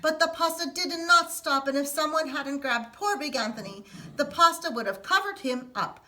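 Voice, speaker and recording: A woman teacher reading a picture book aloud to children in an expressive storytelling manner. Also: high voice, moderate pace, a little hiss or hum. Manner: expressive, sing-song storytelling.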